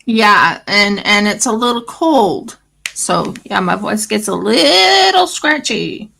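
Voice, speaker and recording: A woman's voice: medium pitch, very animated, slow, vocal fry, bright, forceful tone, husky. A woman with an Australian accent and a scratchy voice, chatting casually and rambling as host of a craft livestream. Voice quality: scratchy morning voice. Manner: rambling, fillers, restarts.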